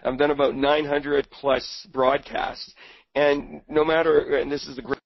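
A man with a cold and a hoarse, raspy voice, presenting in a webinar as a book co-author, rambling and repeating words as he goes. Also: fairly high pitch, flat delivery, a little slow, no room sound. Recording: dull, muffled webinar audio.

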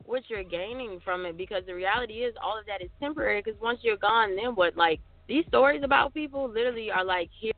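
A man's voice: sits high, fast, few pauses. A man co-hosting a casual podcast, chatting in a rambling way with lots of fillers. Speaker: podcast co-host. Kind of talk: casual chat. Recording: tinny phone-like sound, dry.

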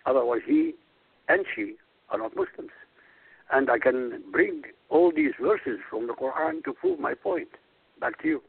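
A man's voice: on the high side, huge pitch swings, measured pace, creaky, slightly rough, dry recording. A man from Iraq whose first language is Arabic, a guest on a radio talk show, speaking emphatically and assertively. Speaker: guest commentator. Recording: tinny phone line.

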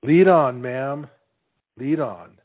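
A man playing a simple, earnest young soldier who speaks haltingly and humbly in a period stage comedy. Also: medium pitch, phone-quality sound. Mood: relieved.

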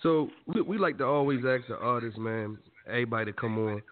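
A man's voice: mid-range voice, brisk. Enthusiastic male host on a tinny line. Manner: enthusiastic, slangy interviewer. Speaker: radio show host. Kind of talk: casual radio interview. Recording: phone-like narrow sound.

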